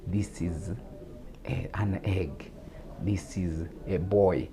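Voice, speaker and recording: A Ugandan man with a low, mellow voice talks through his ideas at a measured pace, often repeating a word before going on. His English carries a Ugandan accent. Faint noise sits under the recording. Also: steady tone. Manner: measured, explanatory, with repetitions and fillers. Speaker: guest speaker on religious talk show. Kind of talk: explanatory talk show discussion.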